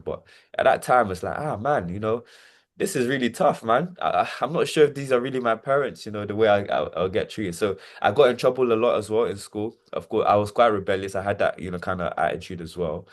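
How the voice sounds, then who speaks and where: Man, 20s, from Nigeria; low-voiced, halting testimony. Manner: hesitant, repeats words, many fillers. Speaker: interview guest. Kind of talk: reflective personal testimony.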